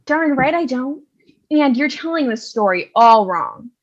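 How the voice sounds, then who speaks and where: A woman's voice: high-pitched, animated, measured pace, dull audio. A woman playing a brash, sarcastic, scolding nun narrator in a comic stage reading. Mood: indignant. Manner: scolding, sassy. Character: brash, sarcastic nun who takes over storytelling.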